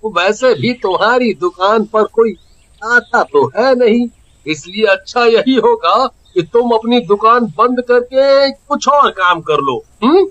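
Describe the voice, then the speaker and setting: A man voicing the vengeful ghost of a murdered village husband in a cartoon, emphatic and deliberate. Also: extremely high pitch, expressive, a bit bright, lo-fi sound, full character voice. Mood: taunting. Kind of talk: Hindi cartoon voice acting.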